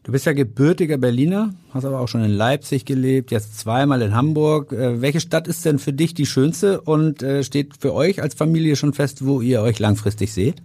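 A male interviewer puts relaxed, friendly questions to his guest. His voice is warm and mellow. The recording is dry, with no room sound. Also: mid-range voice, normal speed, few pauses, no echo.

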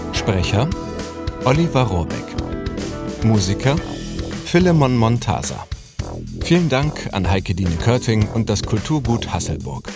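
A male announcer reads scripted credits in a low voice. Loud plucked guitar music plays behind him.

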